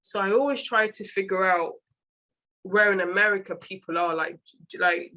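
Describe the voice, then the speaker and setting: A British woman hosting a relaxed interview, her voice bright and forward. She rambles cheerfully and trips over her words, circling back as she talks. The pitch sits in a middling range for a woman. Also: moderate pace. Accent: British English. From England. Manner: chatty, rambling, restarts words often. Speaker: podcast host. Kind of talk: casual interview chat.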